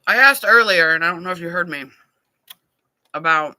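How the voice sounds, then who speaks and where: A woman's voice: fairly low pitch, normal speed, pushed and bright, no room sound. A woman in her thirties hosting a live talk panel and asking candid, probing questions. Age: 30s. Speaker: host.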